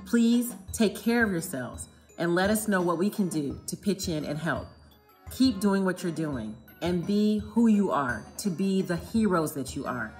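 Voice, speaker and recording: A woman's voice: on the low side, expressive, unhurried, faint music behind. A woman from a college giving a grateful thank-you message to first responders. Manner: warm, grateful.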